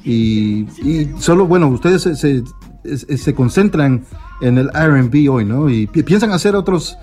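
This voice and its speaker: Eager male radio host, bit high-pitched, halting delivery with fillers. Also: expressive, mellow, faint music behind. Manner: enthusiastic, hesitant, many fillers. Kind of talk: radio interview.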